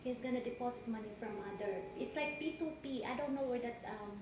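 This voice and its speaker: A woman from the Philippines with a Filipino accent, whose first language is Tagalog, giving halting courtroom testimony with many restarts. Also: fairly high pitch. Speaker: witness in a divorce hearing. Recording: echoey courtroom, background noise.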